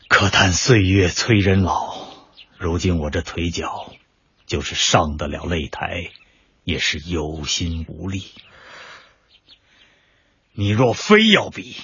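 An actor playing an aged, resigned, fatherly martial arts master in a radio drama, speaking in a halting, weighty way with a husky, breathy voice. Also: low voice, a bit bright, no room sound, highs cut off, full character voice. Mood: strained, worried.

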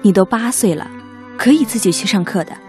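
A woman's voice: mid-range voice, lively intonation, fairly quick, darkish tone, close to an everyday voice. A woman acting in a radio drama as a busy mother of two, chiding but caring, with an admonishing maternal manner. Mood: hurried, firm. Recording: music bed under the voice.

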